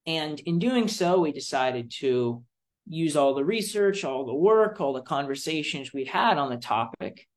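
A young adult American man from Florida giving explanatory interview answers as a student policy advocate, speaking in an articulate, deliberate way with emphatic phrasing. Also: on the high side, lively intonation. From Florida, United States.

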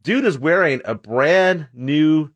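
A man hosting a sports radio show, chatty and upbeat, his voice scratchy and hoarse from an illness. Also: a little high, lively intonation, no echo. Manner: upbeat, chatty, quick banter. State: hoarse, ill. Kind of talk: casual sports radio talk. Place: radio studio.

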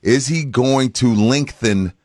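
A man's voice: mid-range voice, slow, a little mellow, dry recording, clean studio sound. A man co-hosting a sports radio show, conversational and opinionated, spelling out his point as he asks a question.

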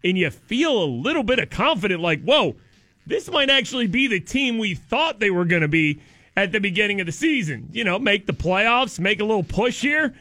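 Man, radio morning co-host, hoarse sore throat, high voice, bright and forceful, sarcastic banter. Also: moderate pace, dry recording. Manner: excitable, sarcastic, joking.

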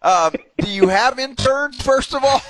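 Man, American, high-pitched, very lively intonation, sports radio co-host, casual banter. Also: measured pace, background noise. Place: radio studio.

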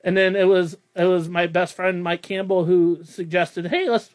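A man's voice: high-pitched, fairly even intonation, normal speed. A young man hosting a casual podcast, rambling and self-deprecating, laughing often. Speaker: podcast host.

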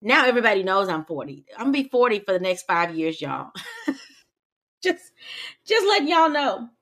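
A woman's voice: mid-range voice, very animated, moderate pace, fairly bright tone. A woman in her forties co-hosting a podcast, chatting emphatically and talking straight to her listeners. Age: 40s. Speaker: podcast co-host. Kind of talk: casual chat.

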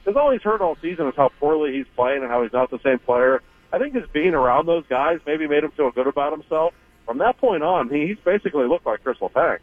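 A man talking hockey as a radio guest, chatty and relaxed, with lots of fillers. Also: a little high, a little fast, slightly rough, dry recording. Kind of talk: casual radio interview by phone. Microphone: phone. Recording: tinny phone line.

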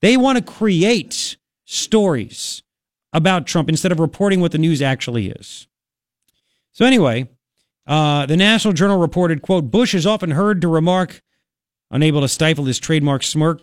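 A man's voice: on the high side, lively intonation, normal speed, dry recording, clean studio sound. A man with a Long Island, New York accent hosting opinionated political talk radio, emphatic and colloquial, repeating phrases for effect.

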